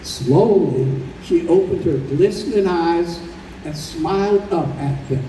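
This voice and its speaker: A man performing as the measured narrator of an old time radio drama, telling a sentimental story. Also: a little high, mellow. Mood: solemn storytelling. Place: convention hall stage. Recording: roomy live stage recording, distant, faint noise.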